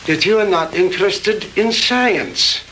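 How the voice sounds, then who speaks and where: An actor playing a mocking, condescending mad scientist in a formal, theatrical 1960s B-movie style. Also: male voice, high voice, expressive, unhurried, slight background noise, heavily acted, poor audio. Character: mocking, fanatical foreign mad scientist villain. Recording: old film soundtrack, loud music behind.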